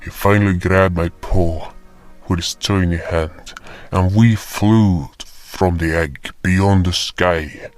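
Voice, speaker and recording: A man with a deep voice narrates a scripted tale as a solemn guardian spirit. He takes his time over each line in a measured way. Faint music plays underneath. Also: steady tone. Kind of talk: first-person scripted story narration. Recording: music behind the voice.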